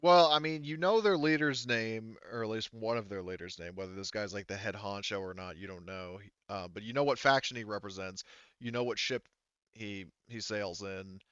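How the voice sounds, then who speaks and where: A man explaining tabletop game mechanics as game master, in a halting, casual and mildly sweary way. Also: lowish voice, fairly bright tone, clear, resonant tone, dry recording, muffled recording.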